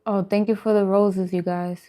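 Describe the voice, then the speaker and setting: A woman's voice: mid-range voice, flat delivery, normal speed, warm tone. A woman chatting casually and informally to viewers as she hosts an eating livestream. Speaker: mukbang vlogger and livestream host.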